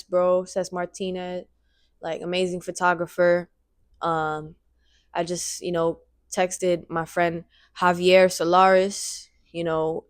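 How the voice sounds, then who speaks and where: A woman in her twenties talking straight to camera in a casual, rambling vlog, excited and repeating her phrases, speaking into a handheld microphone. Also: on the low side, no room sound, studio quality. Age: 20s. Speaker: vlogger.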